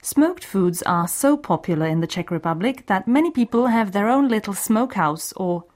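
A woman hosts a short radio language course. Her pitch sits in the middle range, and she explains things in a clear, friendly teaching manner. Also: animated, moderate pace, a little mellow. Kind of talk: scripted radio language lesson.

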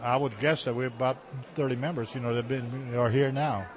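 A man with a drawl answers questions in a halting, homespun way. He stops, restarts and repeats words as he goes. His pitch sits in a middle range for a man. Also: fairly even intonation, a faint crowd, low-quality recording. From Texas, USA. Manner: halting, repeats words, plain folksy talk. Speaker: cultural center director. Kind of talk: interview answers.

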